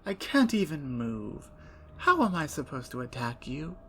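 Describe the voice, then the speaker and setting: A woman voicing a playful, menacing captured female vampire, in an ASMR roleplay with soft whispers and a teasing, taunting manner. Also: sits low, sing-song, a little slow, a little hiss or hum, heavily acted.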